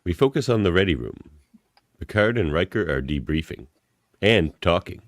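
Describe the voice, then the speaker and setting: A man's voice: deep voice, expressive, normal speed, dry recording. A man narrating a comic radio play, reading out scene directions as a podcast narrator.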